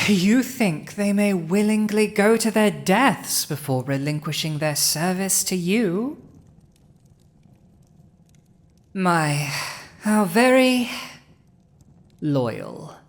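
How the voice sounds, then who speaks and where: A woman's voice: medium pitch, expressive. A woman playing a haughty, cunning dragon ruler in human form, smug and commanding, in a roleplay audio drama spoken to the listener. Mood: incredulous, frustrated. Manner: smug, commanding, deliberate, with pauses.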